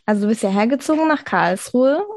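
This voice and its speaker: A woman's voice: fairly high pitch, lively intonation, brisk. A woman hosting a podcast interview, asking questions in a conversational style.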